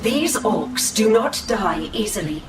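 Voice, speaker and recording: A woman playing a composed, calculating alien elf seer and commander in a video game, speaking gravely and formally. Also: mid-range voice, big pitch swings, slow pace, noisy background. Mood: grave, determined. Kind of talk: video game cinematic acting. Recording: echoey, distant, music behind.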